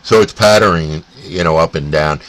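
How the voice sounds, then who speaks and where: A North American man chatting casually as a CB radio operator, in a halting, laid-back way. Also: fairly bright tone, low-quality recording. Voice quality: deep. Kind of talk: casual two-way radio chat. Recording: faint static and radio hiss.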